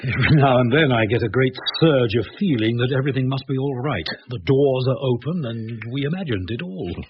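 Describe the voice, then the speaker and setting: A man's voice: mid-range voice, lively intonation, fairly quick, natural voice. An actor playing an earnest, guilt-ridden British man in middle age, brooding and intense, in a radio drama. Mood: anxious. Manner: brooding, intense, self-questioning. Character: earnest, guilt-ridden, successful working-class-born Englishman. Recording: muffled old radio recording, faint hiss.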